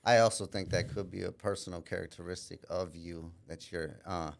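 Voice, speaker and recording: A man's voice: deep voice, steady tone, creaky. A man from Minneapolis talking as a podcast guest, telling his life story candidly and reflectively, often adding "you know". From Minneapolis, USA. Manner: pauses and restarts mid-thought. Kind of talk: casual life-story interview.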